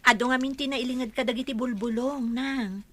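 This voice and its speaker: A woman's voice: sits high, steady tone, a little fast, fairly bright tone. A woman acting a patient, dutiful young village wife and daughter in a radio drama. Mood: earnest, respectful.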